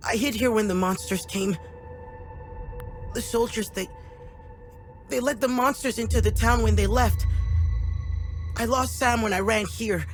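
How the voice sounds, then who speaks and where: A performer playing a frightened, lost young boy who speaks haltingly in a video game cutscene. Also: medium pitch, lightly acted. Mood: sad, hopeful. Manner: hesitant, halting, slow.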